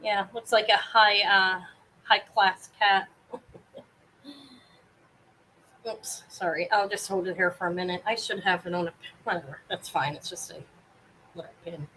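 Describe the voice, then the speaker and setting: Brief: woman, live-sale host, loud carrying voice, rambly and self-interrupting, home setup. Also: fairly low pitch, fairly even intonation, normal speed, slight background noise, low-quality recording. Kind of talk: casual live sale chat. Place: home apartment, fan running.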